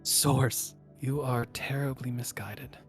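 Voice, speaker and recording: A man's voice: mid-range voice, fairly even intonation, takes it slowly, faint music behind, natural voice. Man voicing a curt, cryptic swordswoman. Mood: stern, dismissive. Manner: terse, solemn, correcting. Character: curt, cryptic sword-bearing woman guiding adventurers.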